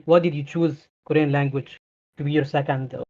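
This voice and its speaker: A man interviewing a guest in casual chat, phrasing his questions haltingly and restarting often. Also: fairly high pitch, fairly even intonation, darkish tone. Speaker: interviewer, server admin. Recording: dull lo-fi mic.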